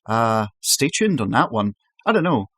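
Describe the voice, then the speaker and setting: A man's voice: mid-range voice, very animated, moderate pace, a bit bright, no room sound, clean studio sound. Exasperated, sweary male podcast host. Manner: exasperated and sweary, with many restarts. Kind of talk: casual podcast chat.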